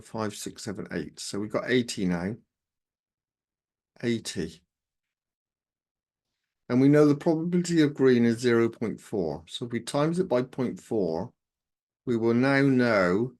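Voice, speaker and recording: A man with a croaky voice, working through exam maths questions aloud step by step as a tutor. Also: medium pitch. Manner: steady step-by-step, talks himself through sums.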